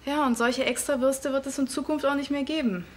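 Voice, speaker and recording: A woman's voice: high-pitched, fairly even intonation, a little fast, slight background noise, lightly acted. An actress playing a firm, sceptical teenage schoolgirl in a TV series. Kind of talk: TV series acting.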